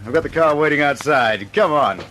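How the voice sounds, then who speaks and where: A man's voice: fairly high pitch, expressive, fairly quick, a bit bright, slight background noise. An actor playing a friendly, easygoing male test pilot in a 1940s radio drama.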